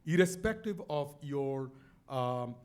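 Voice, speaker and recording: A man's voice: medium pitch, lively intonation, slow pace, a little mellow. A middle-aged man with a Bangladeshi accent, telling a motivational story in an earnest, emphatic way, often repeating words. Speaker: speaker on a stage talk.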